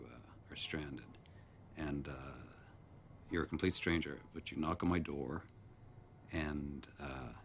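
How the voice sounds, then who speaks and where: A man acting as a folksy American TV host with a fairly low voice. He rambles in a sanctimonious, self-important, patriotic way, as in a film drama, with faint noise behind him. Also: close to an everyday voice. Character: folksy, self-important, flag-waving male TV host, lonely off camera.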